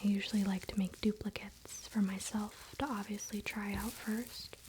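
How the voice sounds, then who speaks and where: A woman whispering breathily and softly in an unhurried, casual, chatty ASMR style, close to the microphone. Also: medium pitch, flat delivery, slight background noise. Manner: unhurried, soft-spoken, informal. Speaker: ASMR creator and crystal seller.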